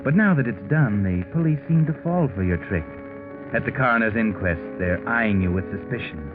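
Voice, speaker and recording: A sardonic, ominous male storyteller played by an actor with a low voice, knowingly taunting in 1940s radio style. Prominent music runs behind him on a muffled recording. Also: expressive, moderate pace, close to an everyday voice. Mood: mocking. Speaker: narrator. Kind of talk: 1940s radio mystery narration.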